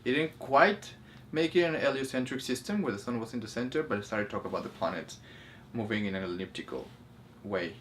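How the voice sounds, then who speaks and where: A man's voice: medium pitch, expressive, fairly quick, a bit bright, slight background noise. A man co-hosting a casual movie discussion, explaining things in a hesitant, self-correcting way. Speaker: co-host of a spirituality review show.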